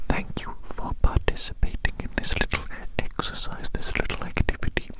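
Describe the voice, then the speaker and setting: Woman acting a polite alien doctor, close-up whisper, breathy, curious, quaintly formal, repetitive phrasing, ASMR personal-attention roleplay. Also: natural voice. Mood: pleased, gentle. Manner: formal, gently odd, repeats phrases slowly. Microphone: very close to the microphone.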